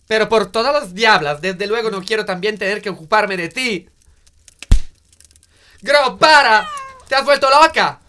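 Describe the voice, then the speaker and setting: A man's voice: sits high, measured pace, long pauses, sharp tone, a little hiss or hum. A man playing a battered, fearful partner in an audio drama, hesitant and guarded as he tries to stand his ground. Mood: defiant, alarmed. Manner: hesitant, short, guarded replies.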